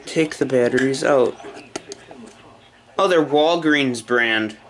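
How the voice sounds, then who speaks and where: A man casually narrating an unboxing and setup in halting, short muttered phrases. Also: medium pitch. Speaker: hobbyist video maker.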